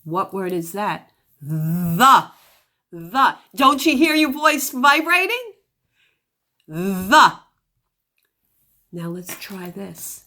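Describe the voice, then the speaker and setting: A woman teaching a phonics lesson to young children, giving deliberate instructions and sounding out words. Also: medium pitch, sing-song, bright, forceful tone.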